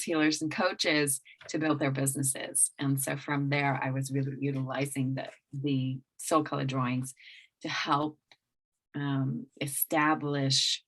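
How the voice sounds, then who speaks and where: Casual: woman from england w/ blended accent, deep-ish voice, lots of restarts and ums. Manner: rambling with many restarts and fillers. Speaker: guest business coach. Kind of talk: casual interview talk.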